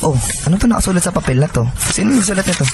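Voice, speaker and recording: An actor playing an excitable young Filipino husband in a radio drama, speaking colloquially and expressively. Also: male voice, high voice, rapid-fire, vocal fry, background noise. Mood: puzzled, concerned. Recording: music bed under the voice.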